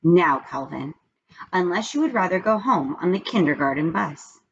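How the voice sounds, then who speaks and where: A woman reading as a brisk, bossy female schoolteacher in a storybook, with a stern and insistent manner. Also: lowish voice, lively intonation. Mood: impatient. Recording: dull lo-fi recording with background noise.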